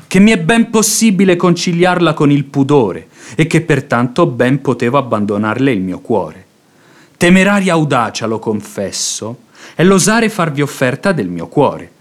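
A man's voice: mid-range voice, lightly acted. An actor playing a fawning, impassioned religious hypocrite in a radio drama, declaiming his pleas in florid, unctuous phrases. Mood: fervent, humble. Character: pious hypocrite seducer, fawning, impassioned, silver-tongued man. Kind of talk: theatrical radio drama acting.

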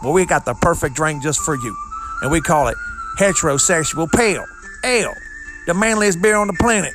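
A man with a high voice hawks beer in a brash, macho parody ad. Music plays clearly under his voice. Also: lively intonation, a little fast. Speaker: parody ad announcer. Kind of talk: mock beer commercial. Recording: music bed behind.

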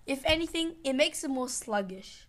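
A woman's voice: sits high, lively intonation, normal speed, fairly bright tone. A young woman co-hosting a casual podcast, reading out jokes and puns between giggles. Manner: giggly, reads puns aloud. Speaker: podcast co-host.

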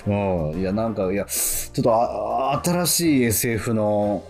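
A male radio host, chatting casually in a relaxed way, with many fillers. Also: on the low side, a little slow, vocal fry, soft background music.

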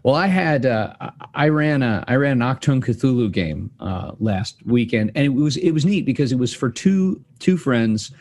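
A man's voice: medium pitch, sing-song, moderate pace, hardly any pauses, darkish tone, slight background noise. A man hosting a podcast, chatting casually and rambling with fillers and restarts. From United States. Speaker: podcast host. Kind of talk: casual chat.

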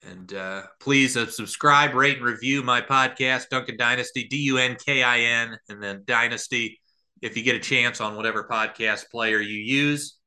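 A man calling live basketball play-by-play as a podcast host, somewhat halting between plays. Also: medium pitch, bright, forceful tone.

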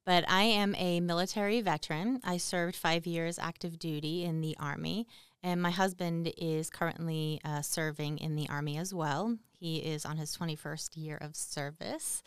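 A woman in her forties with a Boston accent, introducing herself as a podcast guest in a conversational, halting way, thinking aloud as she goes. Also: low voice, fairly even intonation, clean tone, no room sound, clean studio sound. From Boston, USA. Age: 40s. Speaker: podcast guest, military veteran and mother.

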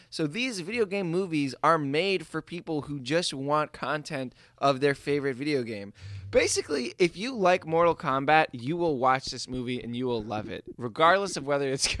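A man's voice: on the high side, bright, forceful tone, dry recording, clean studio sound. A man co-hosting a casual movie discussion, explaining his points emphatically. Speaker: podcast co-host.

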